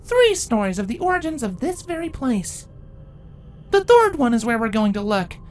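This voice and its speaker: A woman's voice: fairly high pitch, big pitch swings, moderate pace, darkish tone, faint music behind. A woman voicing regal changeling queens and a pony in a dramatic fantasy story, speaking formally and theatrically. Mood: earnest. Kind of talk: dramatic story character acting.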